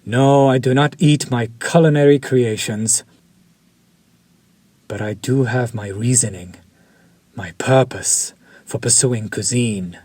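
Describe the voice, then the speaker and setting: A suave, predatory vampire chef, voiced by a man, unhurried and formal. His slightly husky voice sits close and dry against the mic. He is courtly on the surface and hungry underneath. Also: medium pitch, a little mellow, dry recording. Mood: smug. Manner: slow, formal, seductive and menacing. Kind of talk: audio roleplay for a listener.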